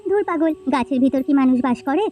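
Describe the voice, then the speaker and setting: A woman playing an anxious, gentle village wife and mother in a cartoon folk tale, speaking in a plaintive, coaxing way. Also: sits high, expressive, brisk, lo-fi sound. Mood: soothing. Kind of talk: Bengali cartoon folk-tale dialogue acting.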